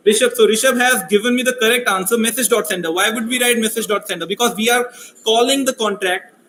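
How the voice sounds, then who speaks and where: A man running a live coding workshop, speaking loudly and asking his audience questions. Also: high voice, fast talker, echoey room, a little hiss or hum. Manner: loud voice, asks the audience questions. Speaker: workshop instructor. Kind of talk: live coding workshop, mixing English and Hindi.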